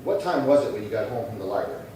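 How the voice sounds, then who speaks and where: A man's voice: lowish voice, animated, racing, dark tone, natural voice. A man acting a terse, courteous police detective in a 1950s-style radio crime drama, asking clipped, matter-of-fact questions. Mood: patient, dry. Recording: very echoey, distant, faint noise.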